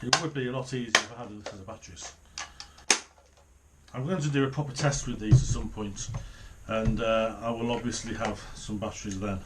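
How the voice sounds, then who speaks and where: A man's voice: on the low side, fairly even intonation, brisk, very noisy. A middle-aged man giving a casual, unscripted hands-on commentary, partly muttering to himself as he works. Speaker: hobbyist presenter of a radio repair video. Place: small home office, indoors.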